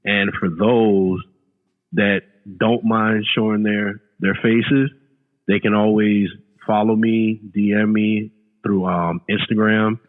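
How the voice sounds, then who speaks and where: A man's voice: lowish voice, level tone, takes it slowly. A man in his late thirties, a podcast guest chatting casually, often repeating words and saying "you know". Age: late 30s. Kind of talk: casual chat. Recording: phone-like remote line with long reverb.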